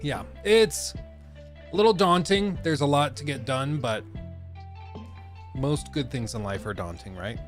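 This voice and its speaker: Man, young adult, stuffed-up nose, rambling streamer chat, mid pitch, faint music behind. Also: lively intonation, unhurried, pauses often. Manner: rambling, chatty, many fillers. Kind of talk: casual live-stream chat.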